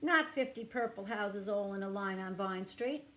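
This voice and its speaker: Fussy picture-book house painter, insistent and loud, put on by a woman reading aloud, faint hiss behind. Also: mid-range voice, normal speed, lightly acted. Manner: emphatic, insistent.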